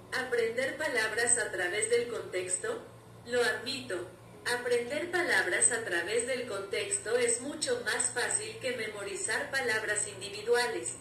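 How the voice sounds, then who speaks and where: A woman's voice: fairly high pitch, recorded from a distance, slight background noise. A woman giving an opinionated, wry voice-over about learning languages. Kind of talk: scripted voice-over commentary. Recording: roomy and off-mic with loud music.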